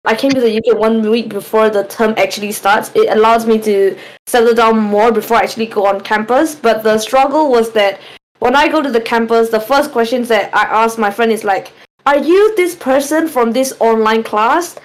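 A woman's voice: a little high, normal speed, low-quality recording. A young woman from Myanmar, a student guest on a casual podcast, chatting freely and often saying like. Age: young adult. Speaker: guest, student society vice president.

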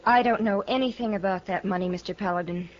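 A woman's voice: medium pitch, quick pace, close to an everyday voice. An American woman playing a proud, weary young widow in a radio western, guarded and full of wounded dignity. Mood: hurt, resigned. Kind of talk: 1950s radio western acting. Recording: muffled, slightly noisy old radio broadcast.

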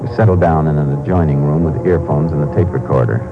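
Texas Ranger, very deep voice, calm, terse, matter-of-fact, vintage radio drama, muffled old broadcast sound. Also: male voice, fast talker. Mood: clipped, matter-of-fact. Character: calm, methodical male Texas Ranger narrating his case. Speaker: lead actor and narrator. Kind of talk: 1950s radio crime drama acting. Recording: band-limited vintage radio, music behind.

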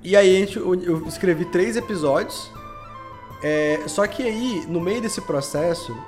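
A man's voice: sits high, a little slow. A man from Belo Horizonte with a Minas Gerais Brazilian accent, chatting casually as a podcast guest and telling anecdotes in slangy speech. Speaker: guest, audio drama podcast creator. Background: background music.

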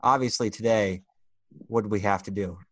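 A man in a casual chat among friends as a podcast co-host, talking haltingly and often repeating himself. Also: lowish voice, fairly even intonation, fairly bright tone, slight background noise.

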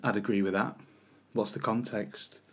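Man, podcast co-host, loud carrying voice, casual banter. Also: on the low side, flat delivery, moderate pace. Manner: loud voice that carries. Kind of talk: casual chat.

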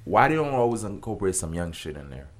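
Young male co-host, very low voice, loose slangy storyteller. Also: sing-song, quick pace. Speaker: podcast co-host. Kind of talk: casual chat.

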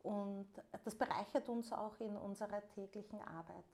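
A woman's voice: mid-range voice, fairly even intonation, moderate pace, a little mellow. A young woman, co-hosting a podcast in casual chat, speaking thoughtfully with frequent hesitations. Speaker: podcast co-host.

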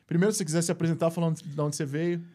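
A man's voice: on the high side, steady tone, rapid-fire, dark tone. A man hosting a podcast, chatty and upbeat, often tagging sentences with a questioning aside. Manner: chatty, upbeat, frequent "né?" tags. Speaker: podcast host. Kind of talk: casual podcast chat.

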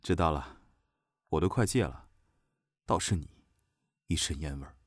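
An actor playing a young male general around thirty in a modern audio drama, familiar and musing, with a breathy voice. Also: rumbling low, animated, moderate pace, no echo. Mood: familiar, casual.

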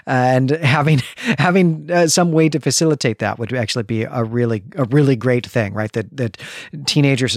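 A man hosts a conversational book podcast in an earnest, explanatory way. He often repeats words for emphasis as he works through a point. His mid-pitched voice is recorded dry, with very little room sound. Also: dry recording. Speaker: podcast host, teacher. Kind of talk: thoughtful literary discussion, conversational.

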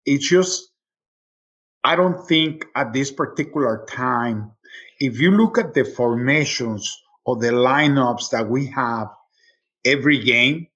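A middle-aged man from Mexico City with a Mexican accent, a native Spanish speaker, talking casually as an interview guest in a halting way with repeated words. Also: medium pitch, fairly bright tone, lo-fi sound. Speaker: sports radio play-by-play announcer, interview guest.

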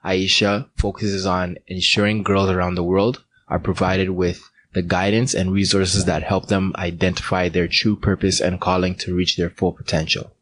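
A man hosting a podcast, reading a scripted intro and then interviewing his guest in a measured way. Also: low voice, level tone, fairly bright tone, a little hiss or hum. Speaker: podcast host.

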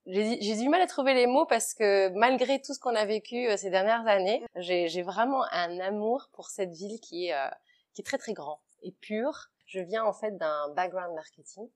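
A woman's voice: mid-range voice, moderate pace. A woman in her forties of Italian family, a guest chatting at home, hesitant with many fillers and restarts. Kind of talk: casual interview chat.

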